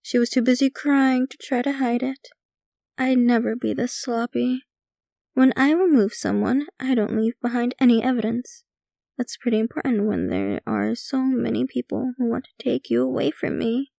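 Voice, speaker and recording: A woman playing a possessive, centuries-old vampire girlfriend in an intimate ASMR roleplay, speaking in an unhurried, doting yet casually sinister way. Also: a little high, lively intonation, creaky, mellow, no echo, clean studio sound. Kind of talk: ASMR roleplay, intimate scripted monologue to the listener.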